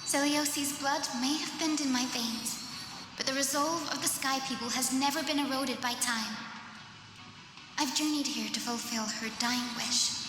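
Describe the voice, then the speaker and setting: An actress playing a gentle but resolute young healer woman in a fantasy game, with earnest, formal delivery. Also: sits high, normal speed, close to an everyday voice. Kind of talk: scripted fantasy game acting. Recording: echoey hall reverb, music behind.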